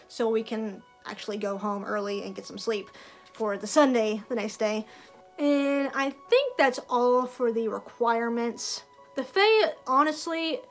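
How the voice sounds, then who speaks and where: A young woman vlogger in casual chat to camera, chatty, with lots of filler words and laughs. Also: a little high. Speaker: vlogger and festival performer.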